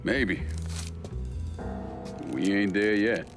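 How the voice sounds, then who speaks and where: A low-pitched Southern American man, a hardened veteran who talks bluntly and keeps his guard up. His delivery is naturalistic and profane, with music playing behind him. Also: animated, very slow. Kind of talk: naturalistic video game cutscene acting.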